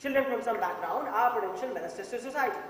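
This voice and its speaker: Quick, high man playing a cool juror, Indian accent. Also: a bit bright. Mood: cool, insistent. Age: young adult. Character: cool, opinionated, class-minded juror. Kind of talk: amateur stage play acting. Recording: distant, very echoey hall, faint noise.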